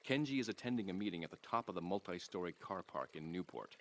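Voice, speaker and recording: A calculating, moneyed crime patron in a video game briefing. His voice sits a little low. He talks with measured, businesslike pomposity. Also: animated, fairly bright tone. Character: composed, calculating, wealthy male crime patron.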